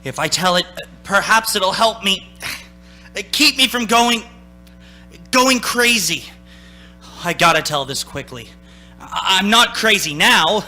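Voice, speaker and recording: A man's voice: high voice, expressive, slow, long pauses, bright, forceful tone, soft background music, full character voice. An actor playing a haunted man of thirty-six who tells his story in dramatic old-time radio style. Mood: desperate, urgent. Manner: urgent, dramatic first-person narrating.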